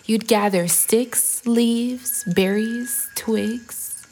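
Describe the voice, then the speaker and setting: A woman narrating a poetic audio drama as a scripted piece, with dramatic, deliberate phrasing and pauses. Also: a little high, steady tone, a little mellow, slight background noise. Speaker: poet and narrator.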